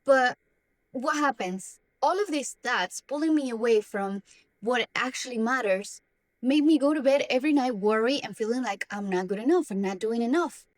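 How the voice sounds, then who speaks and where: A young woman from Argentina, a native Spanish speaker, confides in her viewers in a self-help vlog. Her voice sits a bit high and her delivery is earnest, with the odd restart as she works through her thoughts. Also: animated, moderate pace, poor audio. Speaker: self-development vlogger. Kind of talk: personal talk to camera.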